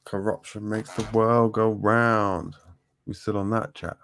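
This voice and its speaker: A man's voice: lowish voice, flat delivery, unhurried, a bit bright, a little hiss or hum. A man chatting casually as a guest, blunt and offhand, swearing freely. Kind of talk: casual chat.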